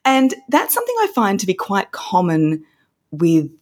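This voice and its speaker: A woman with an Australian accent, a voice coach explaining confidence tips in a relaxed interview, laughing often as she talks. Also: mid-range voice, normal speed. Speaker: voice coach guest. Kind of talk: conversational interview.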